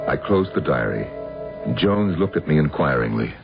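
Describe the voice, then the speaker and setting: Troubled brooding man, very deep gravelly voice, hesitant reflective narration, old radio drama. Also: lively intonation, normal speed, loud music behind the voice, exaggerated voice. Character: troubled, brooding widower recounting his dead wife's past. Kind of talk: radio drama acting and first-person narration. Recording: dull, hissy radio broadcast recording.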